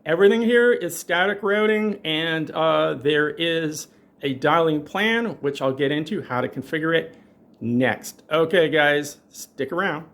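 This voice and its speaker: A man with a voice pitched a little above average presents a hands-on technical demonstration. He speaks informally and with enthusiasm, as a tutorial presenter explaining gear to viewers. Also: measured pace, a bit bright.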